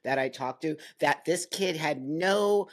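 A woman with a very low-pitched voice hosts an interview conversation. She chimes in emphatically, piling up agreement and repeating words to drive her point home. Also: unhurried. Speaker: podcast host.

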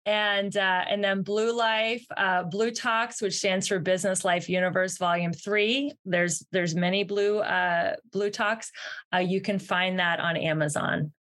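A woman's voice: medium pitch, fairly even intonation, a little slow, fairly bright tone. A woman guest on a podcast interview, talking in an upbeat, earnest and encouraging way about her work. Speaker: podcast guest, success mentor. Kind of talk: interview answers.

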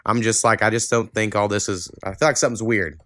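A man's voice: fairly low pitch, fairly even intonation, quick pace, bright, forceful tone, no room sound, clean studio sound. A man hosting a podcast in loose, informal chat, with a self-deprecating way of talking. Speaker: podcast host. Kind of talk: casual conversational podcast talk.

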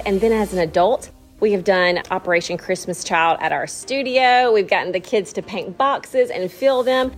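A woman hosting a podcast in a casual, chatty way. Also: mid-range voice. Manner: chatty, spells out web addresses slowly. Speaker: podcast host.

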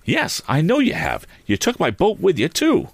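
A man's voice: low voice, huge pitch swings, moderate pace, dry recording, exaggerated voice. A man voicing an angry young inventor hero in a storybook, indignant and demanding. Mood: furious, demanding.

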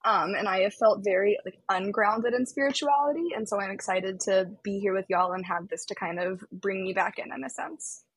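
A woman's voice: mid-range voice, moderate pace, few pauses, slightly rough, no echo, poor audio. A woman guest speaking casually and a little hesitantly about her life. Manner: relaxed, hesitant, with fillers. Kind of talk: casual conversation.

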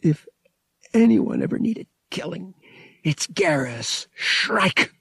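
A man's voice: fairly high pitch, big pitch swings, measured pace, gravelly, breathy edge, dry recording, pitched above the performer's own voice, heavily acted. A man narrating an audiobook, voicing a bitter, defiant young male pilot who speaks with desperate urgency.

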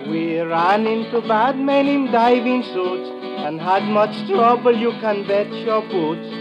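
Jolly calypso man, unhurried singsong rhymes over loud guitar, old radio. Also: high-pitched, highs cut off. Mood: jovial. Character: jovial male Trinidadian calypso singer, admiring storyteller. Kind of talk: calypso performance in radio drama.

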